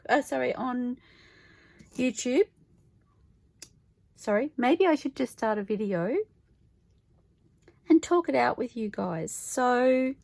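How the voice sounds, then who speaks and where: A woman with a croaky voice, chatting casually to camera about her craft project, thinking aloud and correcting herself as she goes. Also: fairly high pitch, no echo. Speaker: crafter vlogging her junk journal project. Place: home craft table, filmed from overhead.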